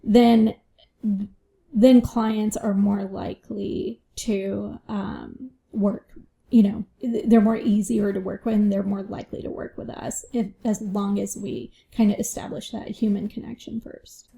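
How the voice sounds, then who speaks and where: A woman's voice: medium pitch, flat delivery, measured pace, vocal fry, warm tone. A woman financial advisor answering interview questions in a reflective way, often adding "you know" and "right?".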